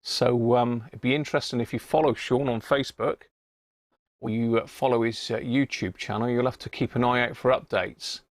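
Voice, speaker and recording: A man's voice: mid-range voice, steady tone, normal speed, no room sound, clean studio sound. A British man hosting a livestream, chatting to viewers in an informal, matey, casual way. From United Kingdom. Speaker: livestream host. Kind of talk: casual live chat to viewers.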